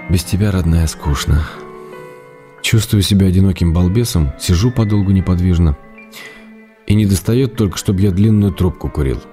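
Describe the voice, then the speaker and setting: An actor playing an affectionate, self-restrained husband reading his letters in a radio play, in a measured, wry manner. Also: sits low, dark tone, soft background music, lightly acted. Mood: wistful.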